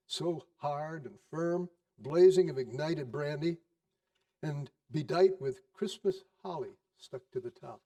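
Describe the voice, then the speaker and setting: A man narrating a classic story in a theatrical style, with dramatic, deliberate phrasing and varied emphasis. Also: a little high, dark tone, distant mic.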